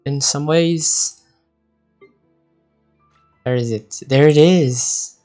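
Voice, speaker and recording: A man's voice: fairly high pitch, drawn-out words, mellow, soft background music. A man doing casual live gameplay commentary, rambling and thinking aloud. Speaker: game streamer.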